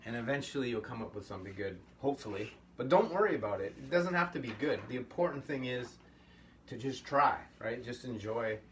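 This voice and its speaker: A man's voice: medium pitch, lively intonation, a little fast. A middle-aged man teaching a casual puppetry workshop at a table, easygoing and encouraging, often rambling. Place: indoor studio room at a table. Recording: slightly distant mic, faint room hum.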